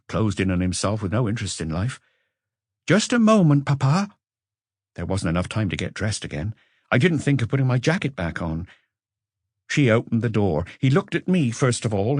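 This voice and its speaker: A man reading a literary story as an audiobook narrator, with expressive scripted delivery. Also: lowish voice, no echo, studio quality.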